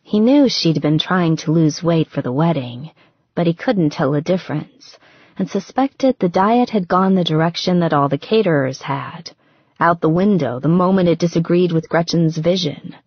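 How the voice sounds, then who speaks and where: A woman's voice: fairly low pitch, lively intonation, normal speed, breathy edge, no echo, dull audio. A woman narrating romance fiction as a scripted audiobook.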